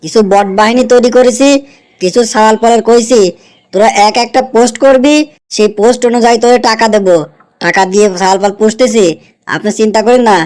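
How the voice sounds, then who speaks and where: A man's voice: very high voice, fairly even intonation, brisk, a little mellow, smooth. A man playing an obliging, scheming political fixer in a cartoon, conniving in a Kushtia accent from Bangladesh. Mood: reassuring, eager. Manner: assuring the boss, conniving. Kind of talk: animated cartoon dialogue.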